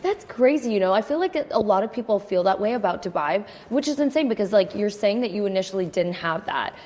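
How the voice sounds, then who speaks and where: A woman's voice: medium pitch, expressive, fast, darkish tone. Enthusiastic woman podcast host, echoing room. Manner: enthusiastic, affirming, slangy. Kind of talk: casual conversation.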